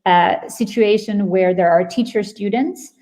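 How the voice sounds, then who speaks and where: A woman's voice: medium pitch, a little slow, dark tone. A woman with a Finnish accent giving a webinar presentation, explaining at length and searching for words mid-sentence. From Finland. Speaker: company presenter.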